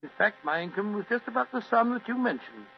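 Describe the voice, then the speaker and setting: An actor playing a genial, blustering older British doctor in a vintage detective radio play. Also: male voice, high voice, sing-song, fast, creaky, full character voice. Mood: genial, consoling. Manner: avuncular, chuckling, stammering bluster. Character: genial, blustering older English gentleman doctor, loyal sidekick. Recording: dull, hissy old radio recording with hum.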